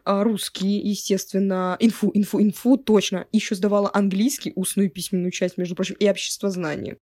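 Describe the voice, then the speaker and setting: A woman in her twenties, co-hosting a podcast in a relaxed, slangy way and laughing easily. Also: mid-range voice, brisk, dry recording, studio quality. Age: 20s. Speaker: podcast co-host. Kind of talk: casual podcast chat.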